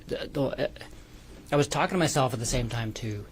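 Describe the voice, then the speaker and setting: A man testifying as a defendant in court with a creaky voice, hesitant and stammering, often repeating his words. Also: medium pitch, a little fast, a little hiss or hum. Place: courtroom witness stand.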